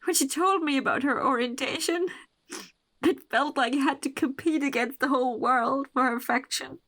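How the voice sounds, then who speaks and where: High-pitched distraught young woman played by an actress. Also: moderate pace, fairly bright tone. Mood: grieving, obsessive. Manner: pleading, anguished. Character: distraught, obsessive young woman grieving her lover. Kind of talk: horror radio drama acting.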